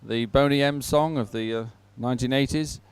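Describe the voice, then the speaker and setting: A man commentates live on a sporting event. His voice is smooth and clear at an ordinary pitch, recorded dry. He talks informally and haltingly, pausing as he finds his words. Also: steady tone, no room sound.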